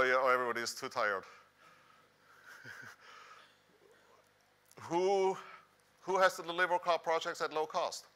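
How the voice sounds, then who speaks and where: Bright-voiced Swiss-accented man presenting. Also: mid-range voice. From Switzerland. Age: middle-aged. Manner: conversational, rhetorical questions to the audience. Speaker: conference speaker, professor. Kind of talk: conference presentation. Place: large dark conference hall stage, indoors.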